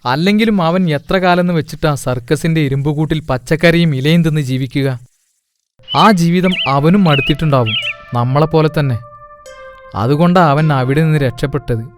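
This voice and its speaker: A man's voice: on the high side, fairly quick, natural voice. A man voicing a weary circus worker in a cartoon, plaintive and reflective. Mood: resigned. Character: weary, worried male circus worker, resigned and sympathetic. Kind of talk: cartoon dialogue. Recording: music behind the voice.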